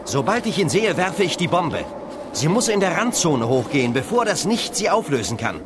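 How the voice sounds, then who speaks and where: A man's voice: fairly high pitch, very animated, normal speed, background noise. A man playing a brave, determined young hero in a children's science-fiction audio drama, urgent and decisive.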